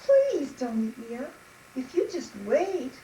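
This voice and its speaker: Storybook animal: small timid goat, voiced by a woman, high and pleading, roomy distant sound. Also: very animated, takes it slowly, dark tone, exaggerated voice. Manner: high, sing-song, pleading. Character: little and middle-sized pleading billy goats. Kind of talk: storybook storytelling for young children. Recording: roomy, distant mic, noisy.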